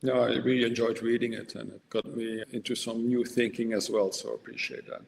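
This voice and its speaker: Gravelly older man, measured. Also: medium pitch, fairly even intonation, a little mellow. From Netherlands. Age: 60s. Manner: measured, pausing often with "uh". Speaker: guest. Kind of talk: podcast interview. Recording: long reverb, faint background noise.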